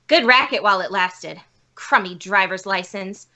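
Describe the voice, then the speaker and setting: A woman's voice: mid-range voice, moderate pace, bright, forceful tone. A woman playing a bitter, indignant forger, a failed actress who poses as an old lady, in a radio police drama. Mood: bitter, defeated.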